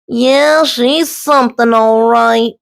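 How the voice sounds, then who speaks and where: A woman's voice: a little high, gravelly, voice pushed up. A woman voicing a grumpy, reluctant male goose in a storybook, mumbling and groaning glumly. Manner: slow, glum mumbling and groaning. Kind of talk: children's storybook read-aloud.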